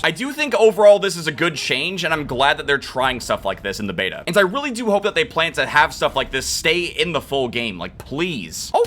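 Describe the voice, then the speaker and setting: A young man commentating his own online shooter gameplay, excitable and quick to rage, his voice getting worn from yelling. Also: a little high, slight background noise. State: losing his voice. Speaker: gaming youtuber.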